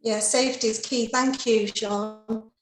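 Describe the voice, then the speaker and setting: A woman leads a patient panel over a video call. Her voice sits a little high, and she keeps her phrasing polite, measured and orderly. An echoey room rings around her. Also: fairly even intonation. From England. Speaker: panel moderator.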